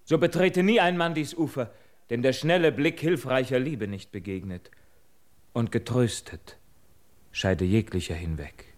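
An actor playing a bold, proud young prince, declaiming heroic verse earnestly in a classical radio drama. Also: male voice, medium pitch, unhurried, natural voice. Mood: proud, resolute.

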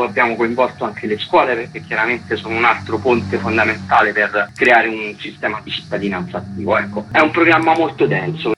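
Male radio guest, bright timbre, mid-range pitch, presenting an arts event. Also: a little hiss or hum, poor audio. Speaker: festival co-artistic director, interview guest. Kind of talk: radio interview, explaining an event programme.

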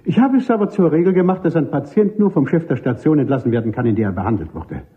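An actor in a radio play as a formal, guarded, self-important army colonel who is a chief doctor on the witness stand. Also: male voice, fairly high pitch, expressive, quick pace, natural voice. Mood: formal, assured. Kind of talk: radio drama acting. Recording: muffled, phone-like old radio recording.

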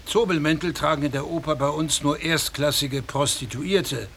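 Blunt gossipy surgeon, gravelly voice, faint room hum. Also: male voice, on the high side, normal speed, fairly bright tone. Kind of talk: radio play acting.

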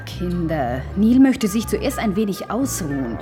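Low-voiced, solicitous woman. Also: sing-song, measured pace, music in the background. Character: solicitous society woman, fond and curious. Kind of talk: German science-fiction radio play acting.

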